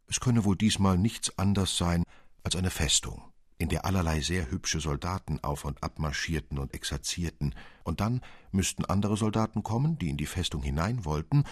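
A male narrator with a very low, husky voice reads a literary fairy tale. His storytelling is measured yet expressive, recorded close and dry with no room sound. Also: no room sound.

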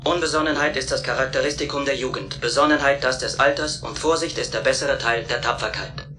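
A man's voice: medium pitch, fairly quick, background noise, lightly acted. A man voicing a polite, cautious robot in a German radio play, speaking in a formal, precise and sententious way. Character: polite, cautious robot crew member who quotes classical authors. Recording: music bed under the dialogue.

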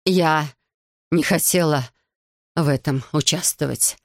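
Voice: mid-range voice, animated, fairly quick, no room sound, studio quality, lightly acted. A narrator voicing a defiant, cornered female agent in a dramatic audiobook thriller. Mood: weak, pleading. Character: defiant, cornered woman agent, later gasping and dying.

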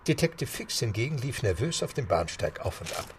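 A man giving steady, scripted storytelling narration for a radio drama. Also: medium pitch, brisk, slight background noise.

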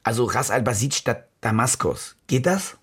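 A man plays a harried German video faker in a naturalistic radio play. His mid-pitched voice has a German accent and swings up and down in a casual, halting way. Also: animated, a bit bright, slight background noise, close to an everyday voice. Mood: annoyed, scheming. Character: harried, slangy war-video faker, a scheming male reporter. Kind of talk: naturalistic radio drama dialogue.

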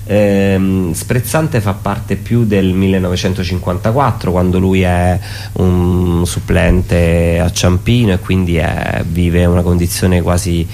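A man being interviewed as a theatre performer, speaking spontaneously and haltingly, often correcting himself as he thinks aloud. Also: deep voice, level tone, dark tone, a little hiss or hum.

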